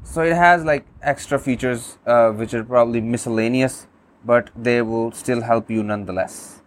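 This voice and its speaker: A man's voice: medium pitch, moderate pace. Hesitant man, native Urdu speaker. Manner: hesitant, many fillers and restarts. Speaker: app reviewer. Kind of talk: demonstrating a phone app with a screen reader.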